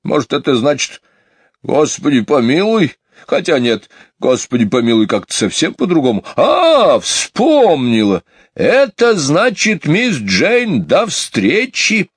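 A man's voice: medium pitch, expressive, moderate pace, husky, no echo, studio quality, voice pushed up. A man voicing a gossipy, fussy, scatterbrained housekeeper woman in a storybook, gushing in a sing-song way. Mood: flustered, musing. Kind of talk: audiobook character voice.

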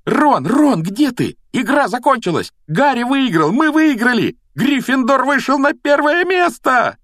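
Excitable schoolgirl by a male narrator, very high, loud eager cries, audiobook fantasy. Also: unhurried, no echo, clean studio sound, heavily acted. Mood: jubilant. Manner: shrieking, breathless excitement. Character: excitable young schoolgirl, eager loyal friend cheering loudly.

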